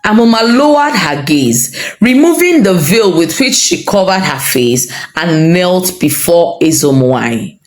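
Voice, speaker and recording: A woman with a Nigerian accent narrating a folktale in a measured, dramatic, expressive storytelling style. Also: lowish voice. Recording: roomy echo under light music. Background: music.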